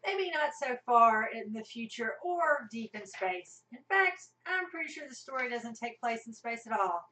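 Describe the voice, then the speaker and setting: A woman's voice: a little high, animated, normal speed, bright, forceful tone. A woman narrating a scripted comic radio play as part of an amateur cast. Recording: roomy, mic at a distance.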